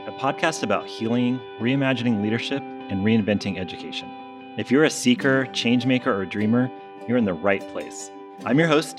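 Male host, scripted intro, measured delivery, soft guitar music underneath. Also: medium pitch. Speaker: podcast host.

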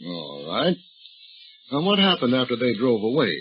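An actor playing a shrewd, folksy older police detective, drawling and calmly needling a suspect in a 1930s radio drama. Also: male voice, medium pitch, moderate pace. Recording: dull old radio recording with faint hiss.

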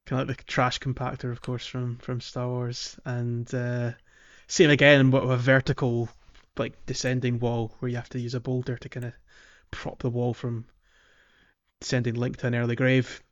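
A man hosting a podcast, talking casually and haltingly through a video game walkthrough, thinking aloud as he goes. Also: mid-range voice, completely flat delivery, muffled recording. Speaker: podcast host.

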